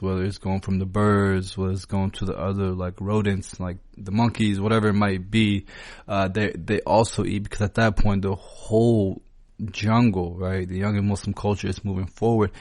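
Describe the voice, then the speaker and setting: A man co-hosts a casual podcast in a low voice with little melodic movement. The sound is dry, and he talks in an agreeable, explanatory way. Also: little pitch movement, moderate pace, a little mellow, dry recording. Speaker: podcast co-host. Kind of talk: casual conversational podcast talk.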